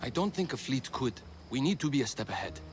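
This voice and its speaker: A man's voice: medium pitch, big pitch swings, fast talker, a little hiss or hum, close to an everyday voice. A man playing an earnest young Persian companion in a video game, speaking with urgency. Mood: urgent, earnest. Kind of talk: video game cutscene acting.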